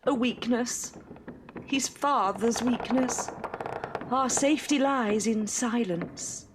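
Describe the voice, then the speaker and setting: An actress playing a stern, cold, secretive older mother with measured speech in a Victorian audio drama. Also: fairly high pitch, noisy background. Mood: cold resolve. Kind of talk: audio drama acting of Victorian period fiction.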